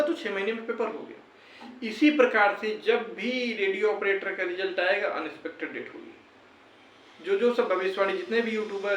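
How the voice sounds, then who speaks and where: High-voiced North Indian man, echoey recording. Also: a bit bright. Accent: North Indian, Uttar Pradesh. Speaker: YouTuber giving exam and recruitment updates. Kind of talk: informal explanatory update to viewers. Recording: roomy echo, distant mic, faint noise.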